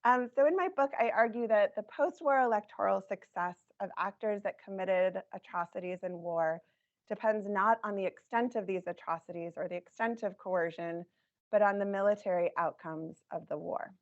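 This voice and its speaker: A woman presenting her research in a measured academic talk, her voice scratchy, with frequent ums. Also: medium pitch. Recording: dull lo-fi recording.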